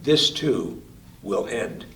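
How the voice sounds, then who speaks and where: A physician and author reading his own essay aloud in a slow, measured, deliberate cadence. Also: on the low side, big pitch swings, fairly bright tone. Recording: roomy sound from a distance.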